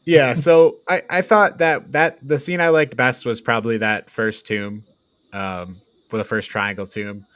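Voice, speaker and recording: A man hosting a casual movie review chat, talking in an informal, halting way peppered with slang. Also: medium pitch, steady tone, a bit bright, clear, resonant tone, no room sound, studio quality. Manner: informal, halting, frequent "like" and "dude". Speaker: host of a media review podcast.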